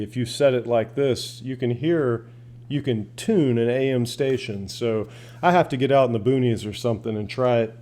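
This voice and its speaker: A man's voice: mid-range voice, warm tone. Folksy man, casual demo. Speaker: hobbyist radio reviewer. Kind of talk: casual product demonstration.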